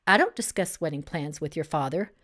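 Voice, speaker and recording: A woman's voice: low voice, animated, fairly quick, fairly bright tone, voice pushed down. A woman reading a story aloud as a stern engaged man who is resentful, suspicious and firm. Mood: angry. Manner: firm, edgy, incredulous.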